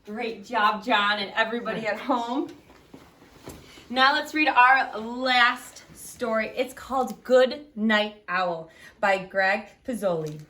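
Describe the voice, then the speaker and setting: High-voiced woman leading children's storytime. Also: slow. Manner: animated, encouraging, speaking to young children. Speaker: library storytime host. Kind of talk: children's sing-along and picture-book read-aloud.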